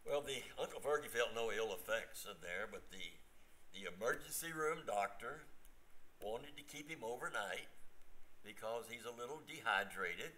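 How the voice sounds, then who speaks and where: An older man playing a matter-of-fact, mildly exasperated family man in an amateur staged reading, with a halting, dry delivery. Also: mid-range voice, lively intonation, bright, forceful tone, low-quality recording.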